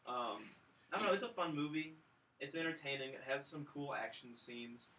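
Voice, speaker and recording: A man's voice: fairly high pitch, moderate pace, sharp tone, recorded from a distance, a little hiss or hum, rough recording. A man chatting casually as a film review panelist, with a blunt, joking manner. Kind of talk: casual chat.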